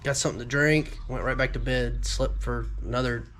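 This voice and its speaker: A young man talks to his viewers about a fishing trip, still a little nasal as he gets over being sick. His voice sits in a middle pitch, and he rambles loosely with plenty of ums and restarts. A faint hiss of noise runs behind him. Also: fairly even intonation, brisk, fairly bright tone. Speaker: fishing vlogger. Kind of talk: casual talk to camera.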